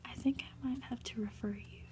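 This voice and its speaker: A woman voicing an awkward, apologetic, reassuring male family doctor in a storybook, speaking haltingly. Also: on the high side, steady tone, in a whisper, lightly acted. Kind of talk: whispered storybook character reading.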